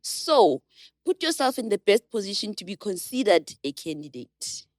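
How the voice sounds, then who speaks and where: A woman on a podcast panel, giving thoughtful, expressive advice in casual chat. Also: mid-range voice, normal speed, dry recording, clean studio sound.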